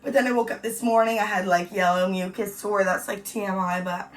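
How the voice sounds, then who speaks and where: A young woman from Canada chatting in a casual home vlog, bubbly and full of "like" and "you guys", her voice hoarse from a cold. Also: mid-range voice, fairly even intonation, fairly quick, recorded from a distance, poor audio. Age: young adult. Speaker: beauty vlogger. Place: at home on a hot day.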